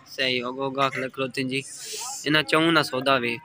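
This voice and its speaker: A man, interviewing sellers at an outdoor cattle market and repeating each price back in a brisk, friendly way. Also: mid-range voice, steady tone. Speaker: livestock market vlogger. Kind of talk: casual on-the-spot interviews about prices. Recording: outdoor market with loud crowd. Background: crowd chatter.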